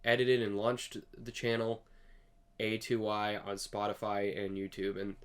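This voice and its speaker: A young man hosting his own show, casually talking with a raspy, slightly hoarse voice from sinus allergies, rambling earnestly and correcting himself. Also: lowish voice, monotone, measured pace. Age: young adult. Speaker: host. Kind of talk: casual solo podcast talk. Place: home recording room. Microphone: desk USB microphone.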